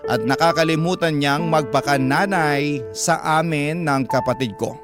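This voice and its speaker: A man's voice: medium pitch, lightly acted. A man playing a long-suffering breadwinner with a Filipino accent, telling a family story as dramatic radio letter narration. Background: background music.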